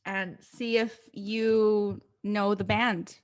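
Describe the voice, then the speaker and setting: A woman hosts a casual podcast and talks slowly, with long pauses and many fillers. Her pitch sits in the middle for a woman, and her questions come out relaxed and tentative. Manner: unhurried, hesitant, with fillers and soft laughs. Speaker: podcast host.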